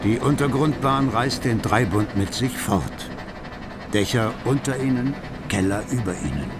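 An actor playing the wryly observant narrator of a literary radio play about city life. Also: male voice, mid-range voice, expressive, a little slow, very noisy, natural voice. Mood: wry narration. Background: music.

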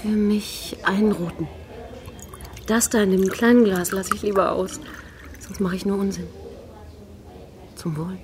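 An actress playing a forthright, flirtatious and discontented middle-aged academic's wife in a radio drama, wry and teasing. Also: female voice, mid-range voice, steady tone, measured pace, pauses often, background noise, close to an everyday voice. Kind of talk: naturalistic radio drama acting.

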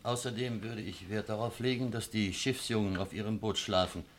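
Man acting suspicious fussy traveller, lowish voice, insistent and formal, radio drama. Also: fairly even intonation, moderate pace, lightly acted. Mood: distrustful. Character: wary, fussy male traveller guarding his luggage. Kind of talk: German radio play acting.

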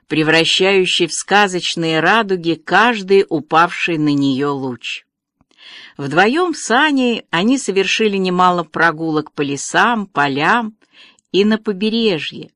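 Female audiobook narrator, lowish voice, dry close studio sound. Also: fairly bright tone, no room sound. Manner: slow, measured storytelling. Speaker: audiobook reader.

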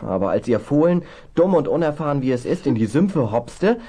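Animated, plucky boy. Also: fairly high pitch, brisk. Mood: indignant, explaining. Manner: animated, earnest, colloquial. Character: eager, plucky boy who loves horses.

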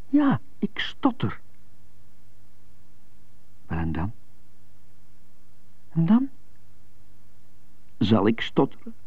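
Husky, stuttering male prisoner, slow and brooding. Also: on the low side, dry recording, full character voice. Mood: defiant. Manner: halting, broody inner monologue. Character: stuttering imprisoned male jazz trumpeter, wistful and defiant. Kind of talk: radio drama acting. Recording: slightly muffled, phone-like narrow band.

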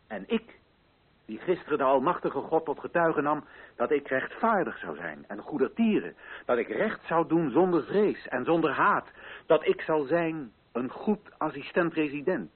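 A solemn colonial official in a radio drama, declaiming to assembled chiefs. His phrasing is rhetorical and deliberate, with wide swings in pitch. The sound is husky and narrow, like an old muffled broadcast. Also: full character voice. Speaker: actor in a radio play. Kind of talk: declaimed theatrical oratory in a radio play.

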